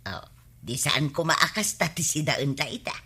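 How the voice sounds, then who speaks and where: A woman's voice: deep voice, very animated, moderate pace, sharp tone, slightly rough, heavily acted. A woman playing a nagging, scolding housewife with an Ilocano accent in a radio comedy-drama.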